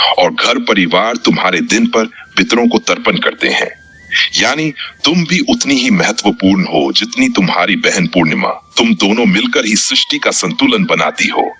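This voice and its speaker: A man's voice: lowish voice, bright, forceful tone, husky, full character voice. A man voicing a tender, wise, fatherly sun god in a storybook tale.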